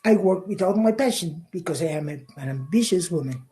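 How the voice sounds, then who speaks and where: A middle-aged woman from Mexico, a conservation leader speaking English, passionate and halting with fillers and repeats. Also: on the low side, animated, warm tone, husky. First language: Spanish. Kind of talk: interview answers.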